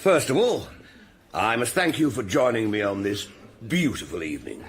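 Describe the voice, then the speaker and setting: A man's voice: fairly low pitch, big pitch swings, moderate pace, over music. An actor playing a rich older businessman making a genial, formal dinner speech in a radio drama. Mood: genial, hearty.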